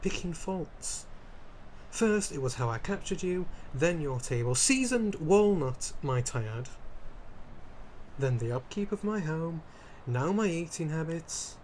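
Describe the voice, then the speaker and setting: A man's voice: a little high, lively intonation, unhurried, a little mellow, slight background noise, low-quality recording. A man playing a centuries-old vampire lord in a scripted roleplay addressed to the listener, haughty and dramatic, wry and easily flustered. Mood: indignant, flustered.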